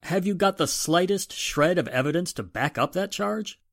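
A man playing a businesslike police inspector in 1940s radio drama style, brisk and matter-of-fact. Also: high-pitched, animated, a bit bright, no echo, clean studio sound.

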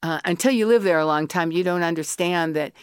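American woman on a podcast, kinda low voice, really clean and smooth, dry close sound, goes off on tangents. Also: brisk, no room sound. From US (Colorado). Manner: chatty, opinionated, goes on tangents. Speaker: guest artist and academic. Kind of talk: podcast interview.

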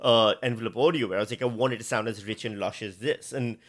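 A man's voice: lowish voice, steady tone, fast, a bit bright. A man chatting casually as a podcast guest, with a wry, joking manner. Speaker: podcast guest, audio drama writer. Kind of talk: casual chat.

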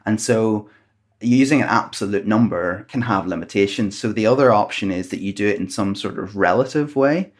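A man from Northern Ireland speaks with his home accent and a deep, low voice. He is a climate researcher laying out his methods patiently, one step at a time, in an interview. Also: moderate pace. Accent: Northern Irish.

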